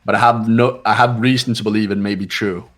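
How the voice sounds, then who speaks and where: A man's voice: on the low side, fairly even intonation, a little fast, fairly bright tone, soft background music, close to an everyday voice. A man playing a cool, analytical student in a fan-voiced visual novel, composed and authoritative. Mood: stern, reasoning. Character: cool, commanding, analytical teenage male student.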